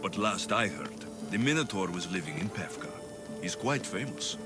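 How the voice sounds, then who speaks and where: Hardened sell-sword; low, deadpan, wry. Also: male voice, moderate pace. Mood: sardonic. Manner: dry, sardonic, calm. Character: wry, battle-hardened male mercenary. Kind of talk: video game cutscene acting. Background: game music.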